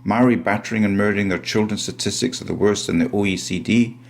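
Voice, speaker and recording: A man's voice: lowish voice, fairly even intonation, quick pace. A man reading a scripted voice-over in a sardonic tone. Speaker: channel narrator.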